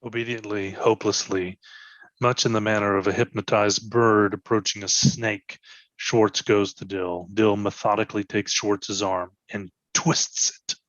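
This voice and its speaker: Low-voiced man reading stage directions. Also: flat delivery, a little slow, fairly bright tone, no room sound, muffled recording. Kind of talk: scripted narration in present tense.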